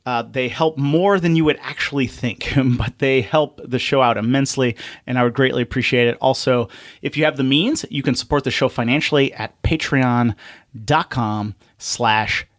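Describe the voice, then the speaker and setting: A man's voice: medium pitch, normal speed, fairly bright tone, no room sound, studio quality. A man hosting a podcast in a conversational style, speaking directly to guest and listeners. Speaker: podcast host.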